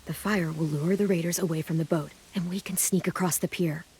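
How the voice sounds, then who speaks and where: A woman's voice: fairly low pitch, steady tone, a little fast, breathy edge. A woman voicing a determined, serious teenage girl who leads survivors in a zombie apocalypse video game. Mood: hushed, planning. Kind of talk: video game dramatic voice acting. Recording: loud music under dialogue. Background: dramatic game music.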